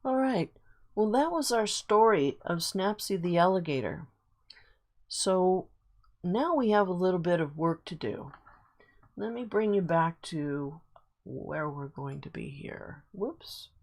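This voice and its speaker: A woman teacher guiding her class through an online reading lesson in a casual, encouraging way, thinking aloud as she works the screen. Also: fairly low pitch.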